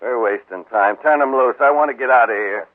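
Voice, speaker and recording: A man's voice: mid-range voice, brisk, background noise, lightly acted. An American man playing an arrogant, rich, scheming town boss in a 1950s radio western, curt and imperious. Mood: impatient, haughty. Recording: muffled, echoing 1950s radio broadcast.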